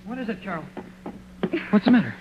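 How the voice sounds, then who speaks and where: A resolute, concerned husband, with a slightly high voice in vintage radio thriller style. The recording is dull, with faint noise. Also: male voice, big pitch swings, quick pace. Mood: uneasy. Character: concerned, determined husband investigating a mystery. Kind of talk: old-time radio thriller acting.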